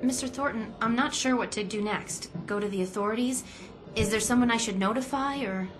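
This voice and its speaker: An actress playing an earnest, anxious young office employee turned informant in a video game drama, polite and hesitant. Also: female voice, on the high side, a little fast, close to an everyday voice. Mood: worried. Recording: music playing behind the voice.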